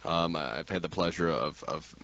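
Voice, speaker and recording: A man co-hosting a radio show in casual interview chat, with a halting, rambling delivery. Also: very deep voice, vocal fry, a bit bright, muffled recording.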